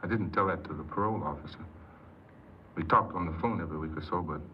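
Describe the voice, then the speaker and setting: An actor playing an earnest, insecure paroled ex-convict who tells his story haltingly in a 1950s radio drama. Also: low-pitched, natural voice. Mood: earnest, wistful. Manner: hesitant, halting, repeats words. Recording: noisy muffled 1950s radio recording.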